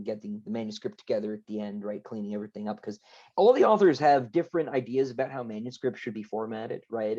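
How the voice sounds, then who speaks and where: A man's voice: on the low side, dark tone, dull audio. A man speaking casually as a podcast guest, chatty and often checking in with little tag words. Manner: chatty, tags phrases with "right" and "you know". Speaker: book editor and author, podcast guest. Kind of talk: casual conversational interview.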